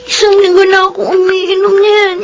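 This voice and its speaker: A woman's voice: very high voice, flat delivery, normal speed, over loud music. A woman playing a weak, weary young daughter in a Filipino radio drama, with a plaintive, whiny Filipino-accented voice. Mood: complaining.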